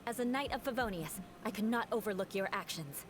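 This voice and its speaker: A woman's voice: medium pitch, expressive, a little fast, noisy background, lightly acted. A woman voicing a proud young noblewoman knight in an anime video game, formal, composed and defiant. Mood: cool, defiant. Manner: poised, formal. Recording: game music behind the voice.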